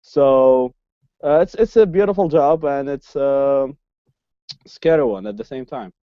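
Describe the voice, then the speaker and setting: Halting man from the Middle East. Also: medium pitch, no room sound, lo-fi sound. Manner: halting, frequent restarts. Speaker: human rights activist guest. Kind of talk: casual podcast interview.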